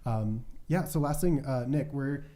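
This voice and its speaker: Man, podcast co-host, very dark mellow tone, medium pitch, casual discussion. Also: measured pace.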